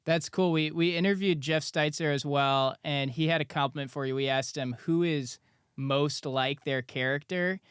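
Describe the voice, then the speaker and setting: A man's voice: on the high side, steady tone, a bit bright, clear, resonant tone, no room sound, clean studio sound. A young man hosting a podcast, asking questions in an eager, upbeat, casual way. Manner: upbeat, eager, quick asides. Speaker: podcast co-host.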